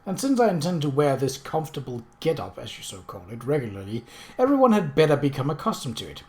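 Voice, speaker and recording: A strong-willed young lady in an audiobook mystery, put on by a male reader. She is brisk, crisp and spirited, with a defiant edge. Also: a little high, wildly swooping pitch, a little mellow.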